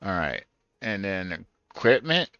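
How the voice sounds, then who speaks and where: Deep, crisp-voiced man musing over a game. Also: big pitch swings, unhurried, pushed and bright, no echo, highs cut off. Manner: thinks aloud, musing. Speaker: gaming streamer. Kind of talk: casual first-time playthrough commentary. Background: game music.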